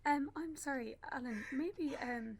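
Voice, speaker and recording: Actress as a timid, high-voiced grieving mother. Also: measured pace. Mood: apologetic. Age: young adult. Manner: hesitant, faltering, apologetic. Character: grieving, timid, conciliatory young bereaved mother. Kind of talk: naturalistic radio play acting.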